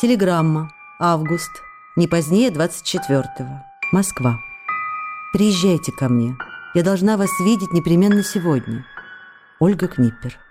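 A woman's voice: on the low side, lively intonation, measured pace. An actress playing an affectionate, impulsive stage actress who reads her letters in an intimate, chatty, coy way for a radio play. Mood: urgent. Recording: radio drama with faint music behind.

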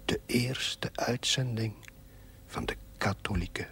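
An actor playing a reflective priest reading his diary in a formal, measured way, with a husky, breathy voice. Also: on the low side, a bit bright, whispered. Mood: wry, solemn. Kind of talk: scripted diary narration in a drama.

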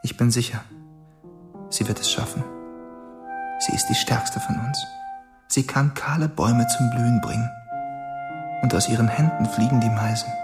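A man playing a proud, inventive schoolboy in a measured, introspective radio drama monologue. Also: mid-range voice, fairly even intonation, close to an everyday voice. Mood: quietly determined. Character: proud, restless schoolboy, inventive older brother, solitary. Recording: clear music behind the voice.

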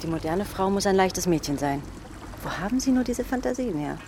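A woman's voice: mid-range voice, lively intonation, a little hiss or hum, close to an everyday voice. An actress playing a self-assured, teasing young working woman in a naturalistic German radio drama. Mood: cool, teasing. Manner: teasing, quick-witted. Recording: studio drama with loud music bed.

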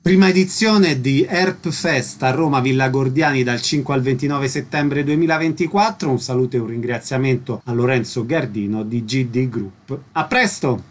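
A man's voice: mid-range voice, moderate pace, few pauses. A man hosting a radio interview, asking brief, direct questions. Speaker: radio host.

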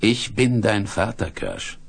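A man acting a big, gruff, protective hermit with a gravelly, husky voice in a 1970s German radio play, speaking in an unhurried, commanding way. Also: fairly low pitch, very animated, full character voice. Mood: solemn. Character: big red-bearded hermit, gruff, protective secret father.

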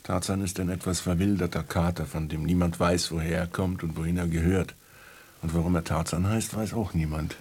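An actor playing a wry, musing writer who narrates his story in a children's radio play, in a gravelly, husky voice. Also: sits low, steady tone, fairly quick, a little mellow. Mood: musing, amused.

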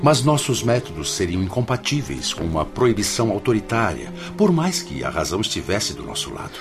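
A grave, benevolent Brazilian spiritual mentor in a radio play. His low voice rises and falls expressively, measured and admonishing, with soft music underneath. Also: sing-song. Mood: grave, resigned. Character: patient, paternal spiritual counsellor, grave and benevolent hospital director. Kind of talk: formal radio drama acting.

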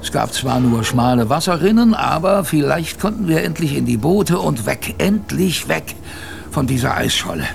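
A man playing a plainspoken expedition survivor, telling his story in a wry, colloquial, gravelly radio drama narration. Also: fairly high pitch, expressive, moderate pace. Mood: weary, reflective. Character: plainspoken male polar expedition survivor recalling hardship, wry.

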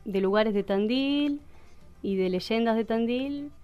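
A woman's voice: a little high, slow, smooth, muffled recording, soft background music. A woman with an Argentine accent, a radio drama workshop member, explaining hesitantly on a radio show. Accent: Argentine Spanish. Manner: hesitant, giggly. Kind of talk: radio interview.

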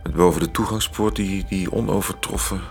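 An actor playing a cultured, brooding, articulate man in a radio play, with weighted, halting phrasing. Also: low voice, steady tone, creaky, soft background music. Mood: grave, haunted.

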